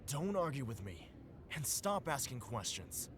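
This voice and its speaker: Menacing, short-fused man for a game; commanding, highly animated delivery with ambient noise behind. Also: a little slow. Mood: angry. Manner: threatening, commanding, prone to yelling. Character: menacing, hot-tempered man barking threats. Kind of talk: dramatic video game voice acting.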